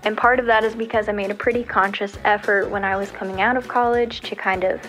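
A woman's voice: medium pitch, fairly even intonation, quick pace, a bit bright, faint music behind. A woman from the American South leaving a reflective voice message for the show. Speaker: listener voice message.

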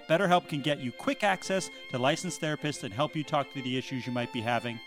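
A man who makes the podcast, delivering a conversational sponsor read. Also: on the high side. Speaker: podcast creator reading a sponsor message.

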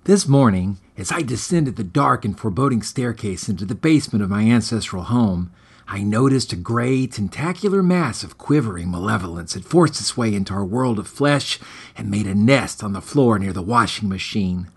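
A man's voice: fairly low pitch, animated, normal speed, slightly rough. A man hosting and reading his own story aloud in a wry, mock-grave, dramatic manner.

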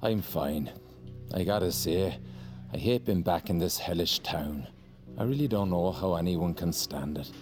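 A man's voice: low voice, expressive, unhurried, darkish tone, soft background music. An actor playing a smug older ex-policeman who talks down to a younger woman. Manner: condescending. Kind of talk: audio drama acting.

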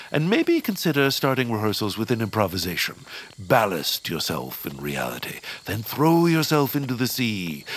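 A man's voice: lowish voice, fairly bright tone, husky, exaggerated voice. A man playing a pompous, fussy baron and self-styled theatre critic in an audio comedy, speaking in a grandiose, theatrical way. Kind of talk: audio fiction comedy acting.

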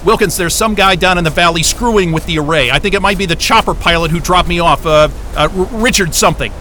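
A man's voice: high-pitched, fast, bright, forceful tone, slight background noise. A man playing an irritable, foul-mouthed astrophysicist at a polar station in a naturalistic audio drama, with a blunt, sarcastic, agitated manner. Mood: urgent, insistent.

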